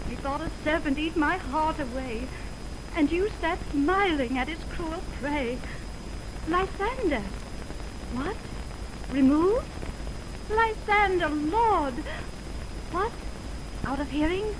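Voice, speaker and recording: A woman playing a frightened young woman in a Shakespearean radio drama, pleading theatrically, on a 1920s recording. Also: sits high. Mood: frightened searching. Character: Hermia, frightened young woman waking from a nightmare. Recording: noisy 1929 optical film radio recording.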